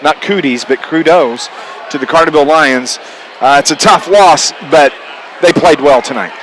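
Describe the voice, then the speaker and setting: A man's voice: a little high, normal speed, a faint crowd, poor audio. An American man giving post-game radio commentary as a play-by-play announcer, recapping the scores from the venue. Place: at a high school game venue. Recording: steady background noise from game venue.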